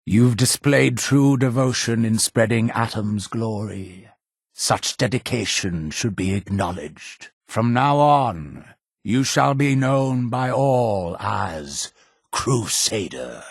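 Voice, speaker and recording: A man's voice: mid-range voice, creaky, slightly rough, dry recording, clean studio sound, heavily acted. An actor playing a zealous, imperious cult leader in a video game, preaching to his flock in a solemn, grandiose manner. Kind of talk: theatrical video game character dialogue.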